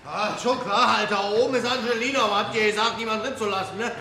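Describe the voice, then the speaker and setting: An actor playing a cheeky, wisecracking furniture mover who talks colloquially in a radio crime play. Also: male voice, high-pitched, fairly even intonation, fast talker, bright, forceful tone, big-room sound, slight background noise. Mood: cocky, teasing. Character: cheeky, teasing furniture-moving mate. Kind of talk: naturalistic radio play dialogue.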